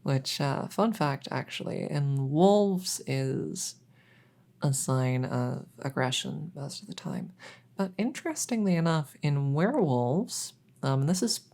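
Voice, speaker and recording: A woman playing a kindly, rambling vet in an ASMR audio roleplay, explaining things softly and hesitantly with lots of reassurance. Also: low-pitched, gravelly, heavily acted. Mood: amused, informative. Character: kindly, rambling female vet for supernatural patients. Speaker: voice roleplay performer.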